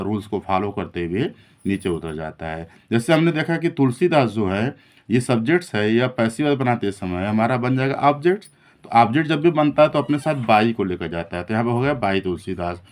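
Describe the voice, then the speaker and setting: A man explaining a lesson as a teacher, in a didactic way that repeats and rephrases key points. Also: mid-range voice. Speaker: English grammar teacher. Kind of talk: classroom-style lesson explanation in Hindi mixed with English terms.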